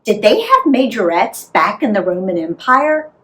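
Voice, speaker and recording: An American woman narrating a travel memoir as an author reading aloud, in an expressive, deliberate storytelling manner. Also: mid-range voice. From United States.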